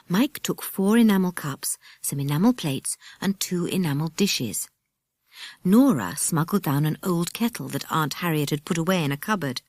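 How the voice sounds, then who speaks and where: Lively lower-pitched British woman reading a story. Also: no room sound, clean studio sound. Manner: expressive, animated storytelling. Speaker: audiobook narrator. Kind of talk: scripted children's storybook narration.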